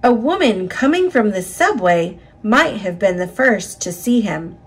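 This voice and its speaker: Female storybook reader, slightly husky, unhurried, with lively pitch swings and dramatic phrasing for children. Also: poor audio. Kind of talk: expressive read-aloud narration of a children's picture book.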